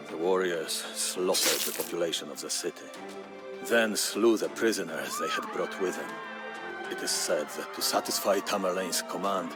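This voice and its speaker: Man, low-pitched, theatrical historical narration, grave, measured delivery, clear music behind. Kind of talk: dramatic scripted historical storytelling.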